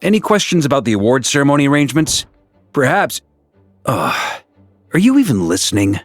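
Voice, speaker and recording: A man's voice: mid-range voice, very animated, fairly quick, no room sound, soft background music. An actor playing an exasperated adult male official who scolds someone for not listening. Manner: impatient, scolding. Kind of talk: game cutscene voice acting.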